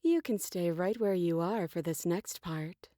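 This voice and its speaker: A woman playing a threatening young princess in a horror game, with a cool, measured, controlled manner. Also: fairly low pitch, warm tone, dry recording, studio quality, lightly acted. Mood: cold, threatening. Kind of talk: dramatic video game acting.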